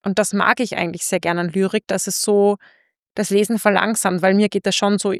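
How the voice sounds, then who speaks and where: A woman's voice: mid-range voice, flat delivery, brisk, no room sound. Woman chatting casually, close dry sound. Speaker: book podcast host. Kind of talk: casual literary discussion.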